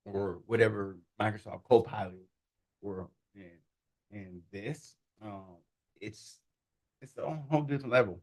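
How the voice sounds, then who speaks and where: A man in a casual conversation, demonstrating software in an animated, amused way and laughing often. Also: fairly low pitch. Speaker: guest, tech founder. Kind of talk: casual demo talk.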